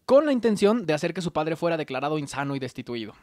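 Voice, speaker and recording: A man's voice: a little high, very animated, quick pace, a little mellow, no echo. A young man with a Mexican accent, reading history notes on a podcast and dropping slangy asides, recorded on a desk mic in a small studio. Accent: Mexican Spanish. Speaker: podcast host telling the story. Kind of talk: casual history podcast narration. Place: small home studio with colorful shelves. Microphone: desk mic on arm, headphones.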